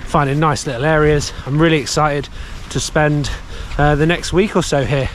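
A man in his thirties talks straight to an arm's-length action camera from a snowy mountain village, chatty and upbeat with plenty of filler words. His voice sits a touch higher than most men's. Faint outdoor ambience hums behind him. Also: fairly even intonation, moderate pace, slight background noise. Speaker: snowboarding vlogger. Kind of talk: casual vlog to camera. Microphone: action camera held at arm's length.

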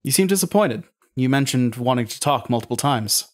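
An actor playing a cool, probing interrogator in an audio drama, speaking in a dry, deliberate, mocking way. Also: male voice, medium pitch, lively intonation, natural voice. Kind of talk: audio drama acting, naturalistic.

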